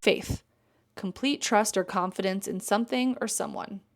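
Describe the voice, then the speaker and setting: A middle-aged woman hosting a parenting podcast, frank and casually profane in relaxed conversation. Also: medium pitch, moderate pace, loud music behind the voice. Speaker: podcast host.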